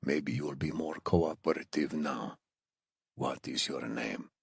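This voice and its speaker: A man acts out a coldly polite torturer in an audiobook thriller. He is a muscular man with a Middle Eastern accent and a very low voice, speaking softly and deliberately with mock friendliness. The sound is close and dry. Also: gravelly, husky, dry recording, exaggerated voice. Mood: quiet menace.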